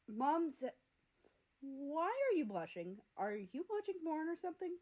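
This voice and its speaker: A woman's voice: high-pitched, sing-song, a little slow, darkish tone, pitched above the performer's own voice. A woman voicing a cheeky teenage younger brother in a read-aloud story, nosy, mocking and playful. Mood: nosy teasing.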